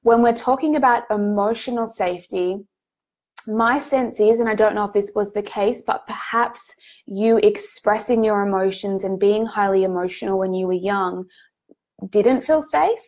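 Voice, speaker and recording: Woman, slightly nasal from a cold, coaching host, soft reassuring delivery, frequent fillers, livestream mic. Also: mid-range voice, moderate pace. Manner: warm, gently reassuring, frequent ums and restarts. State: congested from a head cold. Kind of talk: live coaching call.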